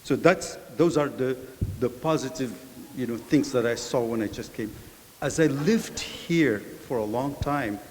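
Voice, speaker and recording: A middle-aged man from Morocco answers panel questions thoughtfully, pausing as he gathers his points. His voice sits in the middle register and echoes through a large hall, with a faint hiss behind it. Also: mid-range voice. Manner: thoughtful, measured, with filler pauses.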